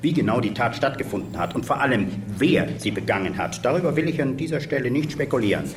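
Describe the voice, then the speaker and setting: An actor playing a grave populist politician in a radio drama, giving a measured formal statement. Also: male voice, mid-range voice, animated, lightly acted. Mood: solemn, rhetorical. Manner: measured, solemn, rhetorical. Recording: roomy, distant mic, music behind.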